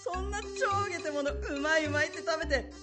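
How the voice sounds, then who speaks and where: A woman's voice: high-pitched, fast, over music, natural voice. An actress playing a blunt, teasing young woman in an amateur radio drama. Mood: amused, exasperated.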